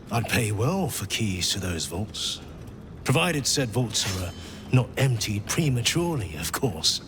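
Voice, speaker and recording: An actor voicing a pompous male guild bursar in a game, speaking in a formal, measured and guarded way. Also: on the low side. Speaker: game character. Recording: game audio with ambient noise.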